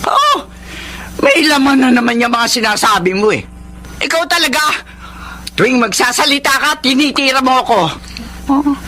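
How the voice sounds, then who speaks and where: A woman's voice: high voice, big pitch swings, normal speed, long pauses, bright, forceful tone, a little hiss or hum, heavily acted. An actress playing a brash, sly woman broker in a radio drama, exclaiming and wheedling. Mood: brash, piqued. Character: brash, scheming woman broker named Pining, defensive and sly.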